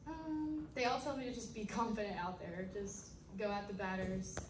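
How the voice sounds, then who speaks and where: A young woman softball pitcher answers softly and hesitantly, with frequent fillers. She sounds distant in a reverberant press room. Background noise is clear throughout. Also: steady tone, moderate pace. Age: young adult. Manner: soft-spoken, hesitant with fillers. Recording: roomy, distant, noisy phone recording.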